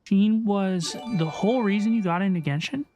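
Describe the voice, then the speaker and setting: A woman's voice: medium pitch, moderate pace, mellow. A woman livestreaming a game, chatting casually and effusively as she answers her viewers. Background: game music.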